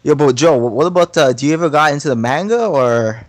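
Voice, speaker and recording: A man's voice: fairly high pitch, animated, fast, dry recording. Dry-sounding man in slangy casual chat. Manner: slangy, often interjects "yo" and swears. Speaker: podcast panelist. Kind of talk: casual anime fan chat.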